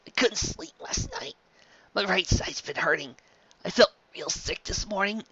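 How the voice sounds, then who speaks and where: A woman's voice: medium pitch, animated, measured pace, a bit bright, slightly rough, highs cut off, pitched above the performer's own voice. A woman voicing an ailing, stubborn young male pirate captain in a story reading, plaintive and strained. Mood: weak, pained.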